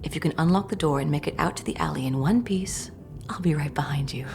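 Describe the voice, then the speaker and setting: Low, creaky, conspiratorial woman played by an actress. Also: fast talker, music in the background. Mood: guarded, hushed. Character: Sybil, wary conspiratorial woman hiding in shadows. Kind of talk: fantasy audio drama acting.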